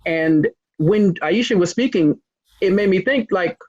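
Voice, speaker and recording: Man hosting an interview, rambling and restarting a lot, bit high-voiced, lo-fi muffled sound. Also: expressive, unhurried, a little mellow, no room sound. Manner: rambling, hesitant, many restarts. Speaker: interviewer, host. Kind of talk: casual interview. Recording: muffled lo-fi recording.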